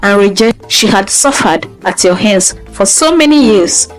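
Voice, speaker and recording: A woman's voice: mid-range voice, animated, moderate pace, faint music behind, lightly acted, poor audio. A woman voicing an authoritative male village juju priest in a folktale, proclaiming solemnly.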